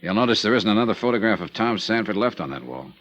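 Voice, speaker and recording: An actor playing a hard-boiled insurance investigator in a vintage radio drama, wry, terse and probing. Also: male voice, on the low side, lively intonation, fast, fairly bright tone, highs cut off. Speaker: narrator and investigator. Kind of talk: 1950s radio drama acting.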